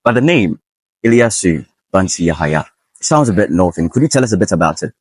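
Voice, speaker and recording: A man's voice: low voice, big pitch swings, fairly quick, husky, dry recording. A man hosting an interview podcast, formal and lavish with praise for his guest. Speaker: podcast host.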